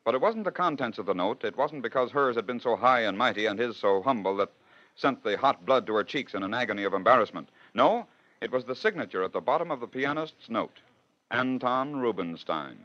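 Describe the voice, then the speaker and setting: A man's voice: mid-range voice, animated, a little fast, a bit bright. A man hosting and narrating in scripted 1940s radio style, with a rhetorical storytelling manner. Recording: dull old radio broadcast.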